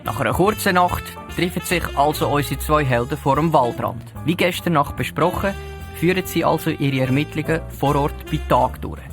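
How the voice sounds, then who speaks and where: A man's voice: a little high, normal speed. A man with a Swiss German accent reading scripted storytelling narration for an audio drama. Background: music.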